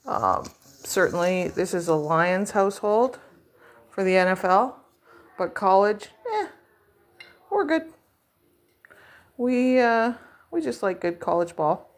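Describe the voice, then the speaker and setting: A middle-aged woman talks casually into her home vlog, rambling from one thought to the next. She pokes fun at herself as she goes, in a voice of ordinary, middling pitch. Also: expressive, takes it slowly, pauses often. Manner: chatty, rambling, self-deprecating. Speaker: vlog host. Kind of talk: casual chat while decorating.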